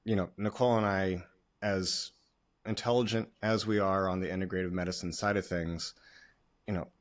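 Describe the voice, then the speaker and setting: Deep-voiced man, measured podcast co-host. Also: steady tone. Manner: measured, aphoristic, reflective. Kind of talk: casual podcast talk.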